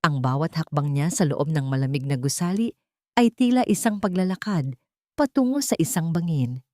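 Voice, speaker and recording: A woman's voice: low voice, expressive, moderate pace, mellow, no room sound, clean studio sound. A woman with a Filipino accent narrating a scripted dramatic story.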